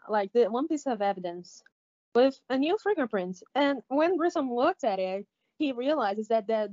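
A woman with a Brazilian Portuguese accent, co-hosting a podcast in a halting, casual way, with many fillers and restarts. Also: mid-range voice, a little mellow. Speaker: podcast co-host. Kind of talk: casual recap chat. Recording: slightly dull lo-fi audio.

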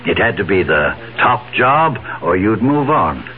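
An actor playing an assured, genial older company chief in a radio drama, formal and measured. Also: male voice, fairly low pitch, very animated. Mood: genial, confident. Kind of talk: 1970s radio drama acting. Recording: tinny, muffled 1970s radio recording.

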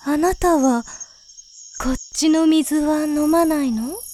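An enigmatic big sister from an audio drama, played by a woman, talks very slowly in a high, soft voice. She is gently condescending, with something quietly ominous underneath. Crickets and night insects sound faintly behind her. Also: a little hiss or hum. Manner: slow, deliberate, soft feminine phrasing. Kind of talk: Japanese audio drama acting. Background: crickets, insect ambience.

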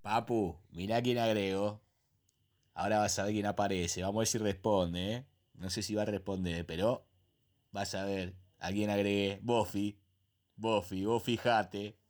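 A man playing an excitable, scheming Argentine former classmate in a comic radio drama, teasing and repeating himself. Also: lowish voice, measured pace, natural voice. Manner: teasing, repetitive.

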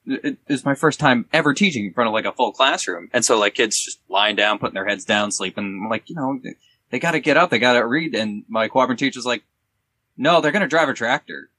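A man, a teacher, chatting casually on a podcast in a halting, anecdotal way. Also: medium pitch, animated, pushed and bright.

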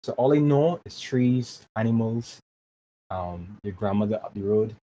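A man's voice: lowish voice, expressive, a little slow, warm tone. A man, speaking earnestly as an interview guest and often repeating words while he finds his phrasing. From Barbados. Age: 20s. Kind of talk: casual interview talk. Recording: dull lo-fi remote audio with faint hiss.

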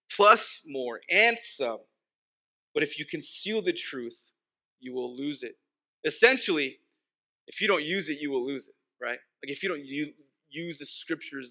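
Pastor, male, from Texas, Spanish as first language. Very bright, forceful voice on the higher side, informal preaching that hammers key phrases by repetition. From Texas, United States. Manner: repeats key phrases for emphasis, slows for weight. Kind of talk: sermon, conversational and informal.

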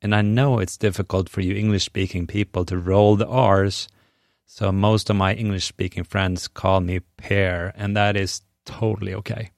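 A middle-aged man with a Swedish accent and a low, deep voice delivers a scripted podcast monologue. He talks in an earnest, measured, encouraging way, close and dry with no room sound. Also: fairly even intonation, vocal fry, no echo. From Sweden. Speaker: podcast host.

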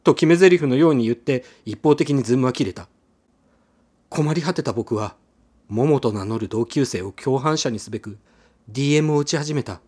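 A man's voice: medium pitch, moderate pace, darkish tone, slightly rough. A man narrating a radio drama in the first person, telling it expressively as a scripted story.

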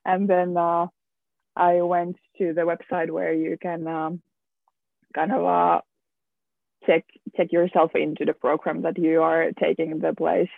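Young guy, slow and hesitant, lots of restarts, gravelly voice, sounds like a phone call. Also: on the high side, flat delivery, no echo. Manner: hesitant, many restarts and repeated words. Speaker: student guest. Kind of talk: casual round-table chat. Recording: tinny phone-like line, dry.

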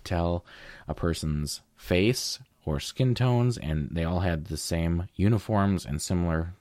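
A young man with a deep voice, casually telling a long story as a guest in a conversation, with fillers and restarts. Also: unhurried, a little mellow, no echo. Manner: rambling, with fillers.

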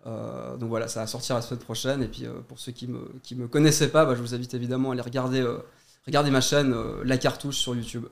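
A man's voice: medium pitch, steady tone, vocal fry, slight background noise. Argumentative man debating. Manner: argumentative, measured, stammers on restarts. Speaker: YouTuber guest.

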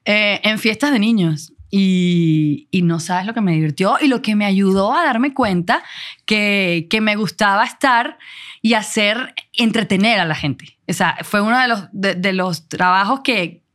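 A Venezuelan woman in her forties, a guest telling her story in a casual interview, animated and self-assured, laughing often. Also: medium pitch, unhurried. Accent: Venezuelan Spanish.

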